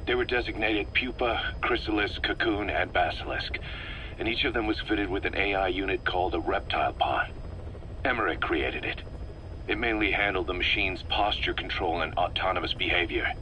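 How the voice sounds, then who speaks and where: A man's voice: sits low, sharp tone, rough recording. A man playing a stern, distrustful mercenary officer in a video game, giving briefings in a clipped, authoritative way. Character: stern, distrustful male mercenary second-in-command briefing his commander. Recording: faint background noise on cassette recording.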